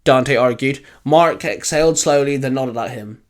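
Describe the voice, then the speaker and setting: A man's voice: medium pitch, moderate pace. A man narrating a scripted fan fiction adventure story. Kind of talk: scripted narration with character dialogue.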